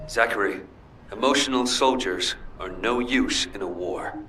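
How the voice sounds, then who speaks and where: Distant, cool military engineer. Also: male voice, lowish voice, measured pace, sharp tone, slight background noise. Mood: stern, supportive. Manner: dry, curt, matter-of-fact. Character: cool, pragmatic male military engineer, aloof teammate. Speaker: drone designer. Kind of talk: video game voice acting. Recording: distant voice under clear music.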